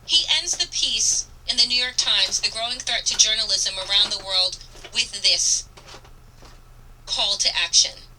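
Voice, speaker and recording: A woman's voice: mid-range voice, pushed and bright, recorded from a distance, a little hiss or hum, rough recording. A woman radio host giving impassioned political commentary, reading aloud and stressing key phrases with earnest, emphatic repetition. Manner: emphatic, earnest, deliberate delivery with dramatic repetition. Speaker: radio talk show host.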